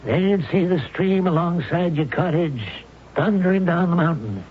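A folksy country storekeeper. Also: male voice, sits high, expressive, moderate pace, a little hiss or hum. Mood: friendly. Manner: folksy, easygoing. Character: friendly rural male hardware store owner. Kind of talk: 1970s radio drama acting. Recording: tinny phone-like old radio recording.

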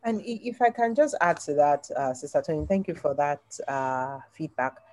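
A woman from the congregation adding a polite, halting comment over a video call. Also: low-pitched, expressive, darkish tone, dry recording.

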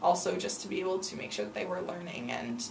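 A woman's voice: fairly low pitch, animated, quick pace, gravelly, darkish tone, roomy sound, distant mic, a little hiss or hum. A young woman in her twenties, a student teacher, answering interview questions earnestly with frequent ums. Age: 20s.

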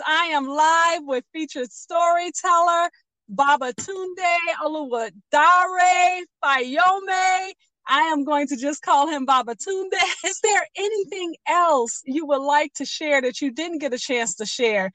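A woman's voice: high voice, measured pace, fairly bright tone. A woman hosting a radio interview, addressing listeners and encouraging her guest by echoing answers back. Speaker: radio show host.

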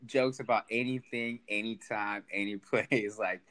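Young male comic guest from Sacramento, lowish voice, loose and self-deprecating, quick to laugh. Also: fairly even intonation, a bit bright. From Sacramento, United States. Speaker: guest, stand-up comedian. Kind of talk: casual podcast chat.

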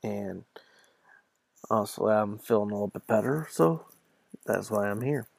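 A man's voice: lowish voice, normal speed, gravelly, a little mellow, slightly rough, dry recording. A man hosting a podcast solo, talking informally and partly reading from an article. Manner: informal, conversational. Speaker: podcast host.

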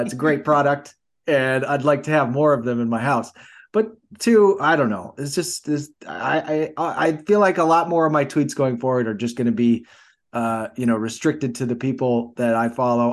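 A man's voice: medium pitch, normal speed. A man co-hosting a podcast in casual chat, talking in a hesitant, rambling way. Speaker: podcast co-host.

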